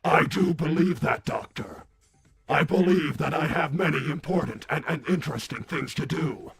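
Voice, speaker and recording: A man voicing an earnest, polite robot on a comedic livestream, speaking formally and haltingly with repeated words. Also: fairly high pitch, gravelly, husky, soft background music, exaggerated voice.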